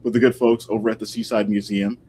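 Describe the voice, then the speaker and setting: A man's voice: fairly low pitch, flat delivery, fast, warm tone, a little hiss or hum. A man talking casually and thoughtfully as a guest historian in an informal interview, picking his words tentatively over a video call.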